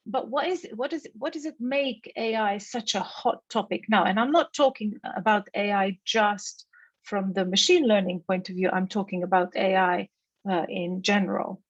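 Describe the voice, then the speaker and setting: A woman's voice: medium pitch, no echo, dull audio. A woman, a native Slovenian speaker with a Slovenian accent, gives an academic keynote lecture in an explanatory manner, posing rhetorical questions.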